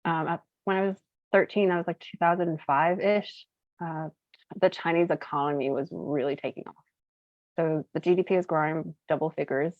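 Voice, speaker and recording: Chatty woman in her thirties, low voice. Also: steady tone, normal speed, no room sound, dull audio. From United States (Colorado). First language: Cantonese. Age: 30s. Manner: chatty, uses many fillers and laughs easily. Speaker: interview guest, entrepreneur. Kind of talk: casual chat, storytelling.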